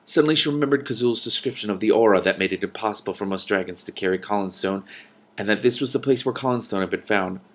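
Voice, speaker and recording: A man's voice: lowish voice, quick pace. A man reading a storybook aloud and adding casual, exclamatory commentary as he goes. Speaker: reader and commentator.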